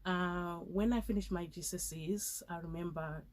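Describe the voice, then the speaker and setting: An earnest young woman in her twenties from Zimbabwe tells her story as an interview guest. She speaks in a fairly low voice and brings quoted conversations to life as she goes. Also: fairly even intonation, normal speed, a little mellow. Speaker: guest, accountant. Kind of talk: interview answers.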